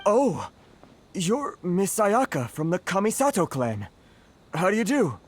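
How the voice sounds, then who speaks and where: A man's voice: sits high, wildly swooping pitch, measured pace, heavily acted. A man playing a flustered, polite merchant in a video game, speaking hesitantly. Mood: surprised, grateful. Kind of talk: video game character acting. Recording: faint ambient noise behind.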